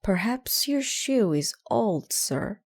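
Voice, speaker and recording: A woman's voice: mid-range voice, sing-song, slow, a little hiss or hum, voice pushed up. Woman as a polite, raised-voice pterodactyl officer. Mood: patient, reasoning. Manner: courteous, matter-of-fact. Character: polite, helpful female pterodactyl police officer.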